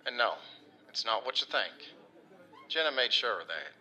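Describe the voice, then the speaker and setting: An actor playing an earnest, rough-edged working man, folksy, halting and sincere, in an audio drama monologue. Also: mid-range voice, sing-song, sharp tone, exaggerated voice, rough recording. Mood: wry, fond. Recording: music behind the voice.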